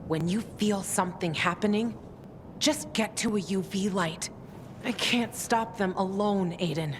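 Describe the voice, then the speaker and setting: A woman's voice: unhurried, long reverb tail, lightly acted. A woman playing a tough, protective ally in a video game, speaking in a guarded, direct and urgent manner. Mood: encouraging. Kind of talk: video game cutscene acting. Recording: loud background rumble.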